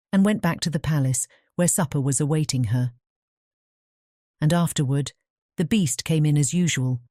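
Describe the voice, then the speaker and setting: A woman narrating a scripted fairy tale audiobook as a measured storyteller. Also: deep voice, dark tone, no room sound, studio quality.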